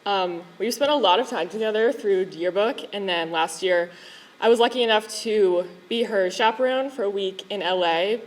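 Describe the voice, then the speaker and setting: A woman on the school staff giving a warm, chatty tribute speech, telling stories and laughing between lines. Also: medium pitch, rough recording. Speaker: school staff member and chaperone. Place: school event hall.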